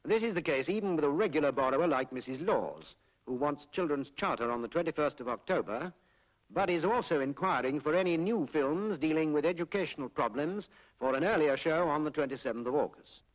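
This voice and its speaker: A British man narrating a 1940s public information film in a formal, measured, explanatory way, on a period film soundtrack. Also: fairly high pitch, expressive, poor audio. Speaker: documentary narrator.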